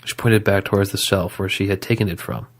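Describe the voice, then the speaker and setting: A man's voice: low-pitched, steady tone, a little fast. A man reading scripted story narration as the narrator of an audio drama.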